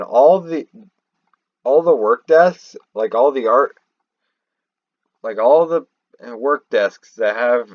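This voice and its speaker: A man giving unscripted commentary on game lore in a halting, rambling way, often restarting mid-thought. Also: mid-range voice, no echo, lo-fi sound. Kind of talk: unscripted theorizing commentary over a screen recording.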